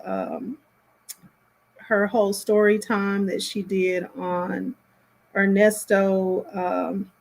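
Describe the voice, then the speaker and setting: A woman hosting a casual live stream, giving commentary in a rambling, halting way as she thinks aloud. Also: mid-range voice.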